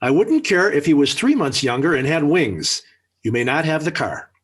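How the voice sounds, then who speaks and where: Exasperated, swooping radio sitcom dad. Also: male voice, on the high side, very animated, normal speed, breathy edge. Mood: stern refusal. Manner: stern, dry, put-upon. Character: exasperated, sardonic middle-aged suburban father. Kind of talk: 1940s radio sitcom acting. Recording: vintage 1940s radio broadcast.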